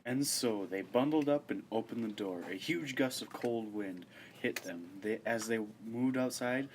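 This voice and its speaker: A man's voice: medium pitch, measured pace. A man reading scripted narration for a suspense radio play. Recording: noisy amateur recording with background ambience.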